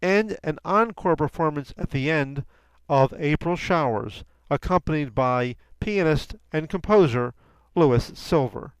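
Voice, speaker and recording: A man's voice: on the high side, animated, a little slow, clear, resonant tone, no room sound, poor audio. A man reading a scripted introduction as a podcast narrator.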